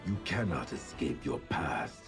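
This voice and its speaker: Game villain: menacing ninja master, extremely deep, deliberate delivery, cold contempt. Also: male voice, expressive, over music, heavily acted. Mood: cold, taunting. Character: menacing, proud male ninja master of shadow order. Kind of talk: scripted game character voice lines.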